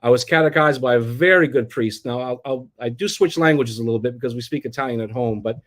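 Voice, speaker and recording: A man's voice: mid-range voice, fast. A middle-aged man from the United States, telling his life story as an interview guest in a rambling way, with many restarts and fillers. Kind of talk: casual personal storytelling.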